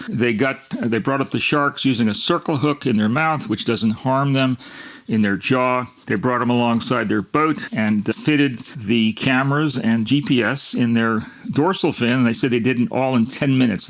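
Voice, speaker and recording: Man, gravelly-edged, mid-pitched, host walking through a science story, loose and rambling. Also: a bit bright. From Miami, Florida. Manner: rambling, with restarts and repetitions. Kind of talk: unscripted explanatory monologue.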